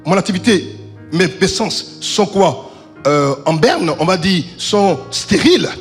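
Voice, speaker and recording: A man pastor preaching a fiery sermon in a church, with chanted and shouted peaks, and questions he answers himself. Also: expressive, unhurried, faint music behind. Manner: preaching with sung, shouted crescendos then dropping low; asks the congregation questions and answers them himself. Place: church service.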